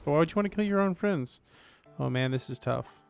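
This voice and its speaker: A man's voice: a little high, animated, a little fast, vocal fry, a little mellow, clear, resonant tone, faint music behind. A man giving casual live commentary as he streams a game.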